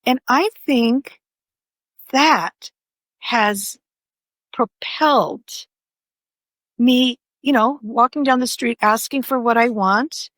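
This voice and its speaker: Slow-talking older woman, native Greek speaker. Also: on the high side, fairly bright tone, no room sound. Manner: rambling, many "you know" fillers. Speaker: podcast guest, author. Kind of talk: conversational interview.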